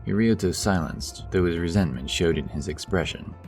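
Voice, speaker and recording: A man narrating a fantasy audio story in a steady, low-key way. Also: normal speed, soft background music.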